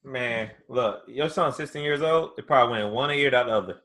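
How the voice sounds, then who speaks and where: Teasing man with a bright voice. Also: medium pitch, fairly quick. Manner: teasing, joking. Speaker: podcast co-host. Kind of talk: casual chat.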